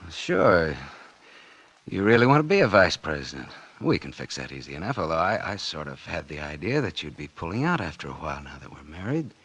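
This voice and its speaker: An actor playing a scheming, guilt-ridden husband turned murderer in a 1940s American radio suspense drama, with tense hard-boiled confessional delivery. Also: male voice, deep voice, big pitch swings, fairly quick, a bit bright, slightly rough, heavily acted. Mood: wary, cornered. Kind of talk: 1940s radio suspense acting with first-person narration. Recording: vintage 1940s radio drama recording, music behind.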